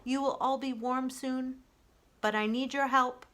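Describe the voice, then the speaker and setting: A woman voicing a kindly, calm female robot in a children's storybook, with measured, formal and reassuring phrasing. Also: sits high, pitched above the performer's own voice.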